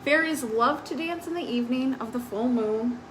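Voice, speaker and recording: A woman's voice: sits high, moderate pace, a bit bright, low-quality recording. A woman hosting a children's storytime on a live stream, reading picture books aloud with simple, explanatory asides for young listeners. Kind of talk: picture-book read-aloud with informal asides. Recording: faint background noise on live stream.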